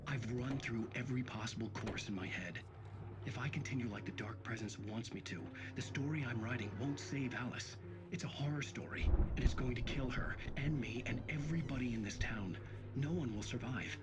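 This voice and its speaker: A man's voice: medium pitch, moderate pace, heavy background noise, natural voice. A male actor playing a haunted, desperate horror writer in a video game, delivering a grave, intense monologue with grim determination. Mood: dread. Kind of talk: dramatic video game narration. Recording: voice under loud music.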